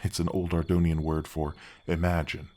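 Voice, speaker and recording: A man playing a big, kindly gargoyle guardian in a soft fantasy ASMR roleplay, earnest and a little bashful, with his voice deepened by an effect. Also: level tone, moderate pace. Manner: earnest, courteous, slightly bashful, hesitant phrasing. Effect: deep-voice pitch effect.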